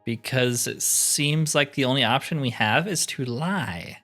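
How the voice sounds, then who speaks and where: A man's voice: medium pitch, measured pace, pushed and bright, faint music behind. A man giving casual commentary as he plays a roleplaying video game. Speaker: gaming video host. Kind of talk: casual roleplay gameplay commentary, reading dialogue aloud.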